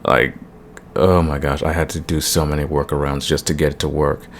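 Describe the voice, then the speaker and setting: A man's voice: flat delivery, fairly quick. A man hosting his audio channel, giving a casual update straight to his listeners. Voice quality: very deep, gravelly. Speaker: audio roleplay creator, channel host. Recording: clear background hiss.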